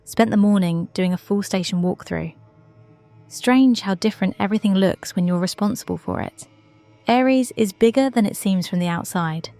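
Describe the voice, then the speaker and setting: A woman's voice: medium pitch, normal speed, warm tone, natural voice. Young female space officer, dutiful and modest, reflective log monologue for sci-fi audio drama played by a woman. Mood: focused.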